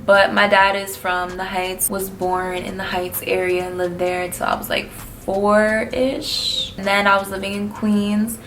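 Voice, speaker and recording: A woman's voice: mid-range voice, level tone, measured pace, few pauses, fairly bright tone, a little hiss or hum. A twenty-one-year-old woman from New City, answering viewer questions in a blunt, slangy, laid-back vlog. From New York City. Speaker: vlogger. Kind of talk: casual Q&A vlog.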